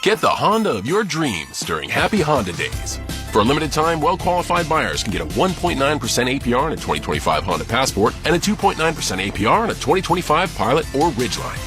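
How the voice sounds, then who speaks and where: A man's voice: medium pitch, sing-song, fast talker. A man reading a scripted radio car commercial as the announcer. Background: music bed.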